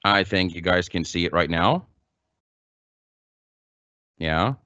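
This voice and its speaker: A man's voice: deep voice, takes it slowly, pushed and bright, dry recording. A man giving a guest talk as a teacher trainer over a video call. He speaks casually, with loose phrasing, fillers and repeated words. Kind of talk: informal conference talk. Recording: dry, dull lo-fi call audio.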